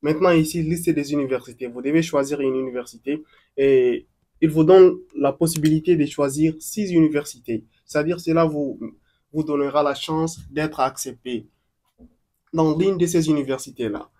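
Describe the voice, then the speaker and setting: A man's voice: on the high side, fairly even intonation, normal speed, mellow. A young man talking viewers through an online application form step by step, in a plain explaining manner with fillers and restarts. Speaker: tutorial presenter. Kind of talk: screen-recorded walkthrough of an online form.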